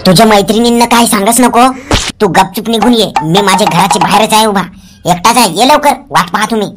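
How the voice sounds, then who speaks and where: A man's voice: high voice, brisk, natural voice. A man voicing a boastful, lovesick young village man in a comic cartoon, with an insistent, wheedling way of talking. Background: loud music.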